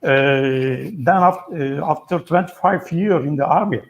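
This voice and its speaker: A man's voice: fairly high pitch, dull audio. Older Turkish man speaking English. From Turkey. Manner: measured, halting, formal phrasing. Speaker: guest, retired army colonel and traveler. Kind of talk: webinar guest talk. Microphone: video call.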